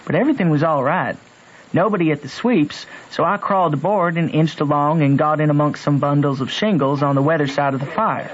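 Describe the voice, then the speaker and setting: A man's voice: a little high, brisk, background noise, close to an everyday voice. Male actor as adventurous country boy storyteller, colloquial, old-time radio drama, dull vintage recording. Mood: cautious storytelling. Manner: folksy, colloquial. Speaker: narrator.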